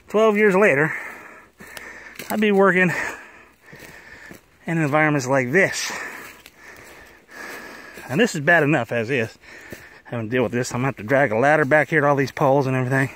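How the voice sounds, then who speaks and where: A man telling stories in a casual, folksy, unhurried vlog style with a wry manner, recorded outdoors on a handheld phone. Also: on the high side, a bit bright, dry recording. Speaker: vlogger, cable installer. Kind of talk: casual first-person storytelling vlog. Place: outdoors on a wooded trail.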